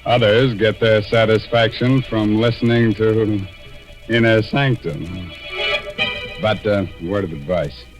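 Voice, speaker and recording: A man's voice: fairly low pitch, steady tone, takes it slowly, poor audio. An American man hosting a 1940s radio horror show with mock-folksy, macabre comic patter. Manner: mock-folksy, ghoulishly jokey. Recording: old 1940s radio recording with music.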